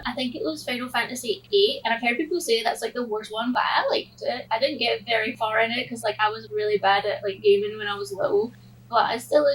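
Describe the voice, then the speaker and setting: A woman's voice: on the high side, a bit bright. A woman co-hosting a podcast, chatting casually and tentatively, with lots of little filler words. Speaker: podcast co-host. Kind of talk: casual chat. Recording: distant mic with faint hum.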